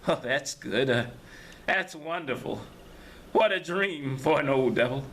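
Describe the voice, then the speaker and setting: A man voicing the devil disguised as a prisoner, pleading in a high, urgent voice. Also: expressive, a little slow, a bit bright, slight background noise. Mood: sly glee.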